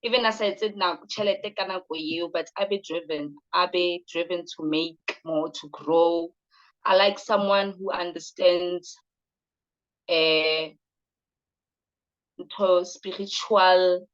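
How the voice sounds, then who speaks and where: A woman's voice: lowish voice, fairly even intonation, takes it slowly. A woman in her late thirties with a South African accent, answering interview questions directly and mixing in Sesotho phrases. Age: late 30s. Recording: slightly dull sound.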